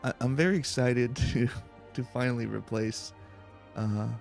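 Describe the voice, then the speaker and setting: A man hosting a relaxed video game let's play, talking in a low-key, halting, casual way over music. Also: creaky. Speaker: host of a gaming let's play. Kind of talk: relaxed, slow-paced let's play commentary. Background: soft music.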